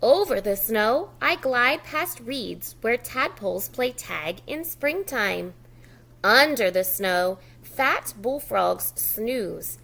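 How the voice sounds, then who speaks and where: A woman working as a preschool teacher, reading a picture book aloud expressively and pausing with encouraging, simple prompts for young children. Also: fairly high pitch, lively intonation, vocal fry, fairly bright tone.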